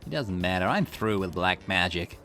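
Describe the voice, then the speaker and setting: Guy doing a smug low drawling bad guy for an anime game, sly and threatening, music behind. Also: animated, normal speed, sharp tone, exaggerated voice. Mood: cold, evasive. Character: sly, menacing male criminal, taunting and foul-mouthed.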